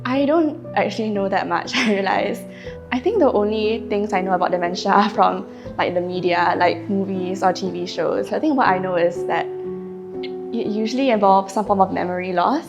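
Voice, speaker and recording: A woman's voice: mid-range voice, moderate pace, gravelly. A woman, a medical student talking candidly and casually. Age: 20s. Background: guitar music.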